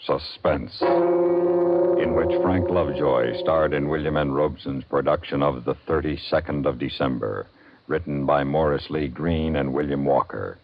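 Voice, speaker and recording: A male announcer from 1950s radio with an extremely deep voice. He reads formally and at a measured gait, on a somewhat muffled old recording. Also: slight background noise.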